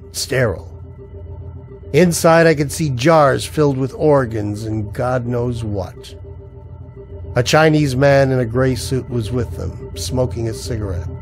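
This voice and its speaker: Male actor as haunted husband in a noir radio drama; mid-pitched, brooding, clipped hardboiled narration. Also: darkish tone, close to an everyday voice. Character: Peter, haunted married man narrating noir misfortune.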